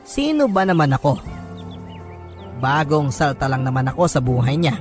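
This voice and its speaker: Filipino man narrating, higher-pitched, over music. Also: big pitch swings, normal speed. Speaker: radio host reading listeners' stories. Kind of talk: dramatic scripted first-person story narration. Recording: music bed under the voice. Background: background music.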